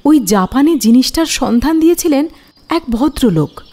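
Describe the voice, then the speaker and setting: A woman acting a troubled wife giving halting witness testimony in an audio detective drama, searching her memory as she speaks. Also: sits high, lively intonation, mellow. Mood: subdued recollection. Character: troubled wife of a missing man, hesitant witness.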